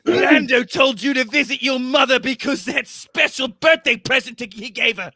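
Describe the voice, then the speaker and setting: A man plays a brash sci-fi bounty hunter for a comic script reading. The voice is very high and piercingly forceful, gravelly and strained. He is loud and indignant, speaking close to the mic. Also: moderate pace, dry recording, full character voice. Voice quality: raspy, strained. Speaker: actor in live script table read. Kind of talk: comic table-read acting. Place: home room, video call. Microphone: microphone with pop filter, close.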